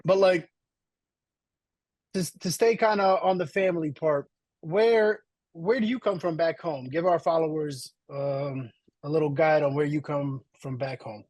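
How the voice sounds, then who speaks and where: A man's voice: fairly high pitch, measured pace, a little mellow, dry recording. Male podcast host, encouraging and chatty, dry close-miked voice. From Slovenia. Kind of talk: casual podcast interview.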